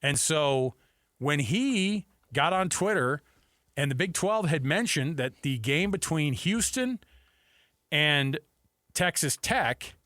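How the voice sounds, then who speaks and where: A man's voice: on the high side, expressive, takes it slowly, fairly bright tone, no room sound, studio quality. A man hosting sports talk radio, giving opinions emphatically and repeating his points for weight. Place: radio studio.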